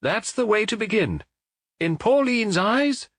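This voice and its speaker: Storybook role: man reading a gentle little priest, grave and imploring, with very varied pitch. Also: sing-song, unhurried, no room sound, clean studio sound, full character voice. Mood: urgent, imploring. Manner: solemn, imploring. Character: small male priest detective, sorrowful, gentle yet intensely earnest.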